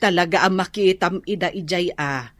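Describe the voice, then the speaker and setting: A woman's voice: on the low side, moderate pace, no room sound, close to an everyday voice. An actress playing a concerned older village woman who questions what she hears. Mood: doubtful. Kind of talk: radio drama acting.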